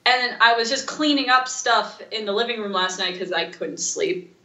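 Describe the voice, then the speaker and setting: A woman co-hosting a casual podcast, rambling through stories in an animated, informal way. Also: fairly high pitch, normal speed, reverberant, low-quality recording. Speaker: podcast co-host. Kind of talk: casual chat.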